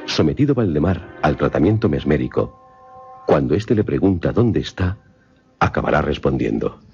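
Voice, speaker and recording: Very deep-voiced male narrator, measured and formal. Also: lively intonation, creaky, mellow, breathy edge. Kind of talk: scripted film synopsis narration. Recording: slightly muffled voice-over with music. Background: music.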